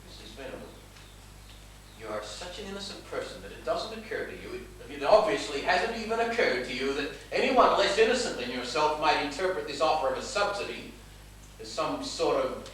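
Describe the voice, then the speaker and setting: A man's voice: a little high, expressive, fairly bright tone. Man acting troubled doctor in echoing theatre. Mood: uneasy, probing. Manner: careful, halting, formal. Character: earnest, conscientious male doctor, hesitant and troubled. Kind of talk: stage play acting. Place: small theatre stage. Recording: very echoey theatre, distant mic, noisy.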